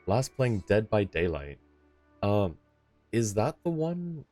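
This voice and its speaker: A man with a low voice streams a game and chats casually with his viewers. He sounds a little tired and is polite and appreciative. Faint music plays behind him. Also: sing-song, takes it slowly, darkish tone, dry recording. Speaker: live streamer. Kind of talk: casual chat with viewers while gaming.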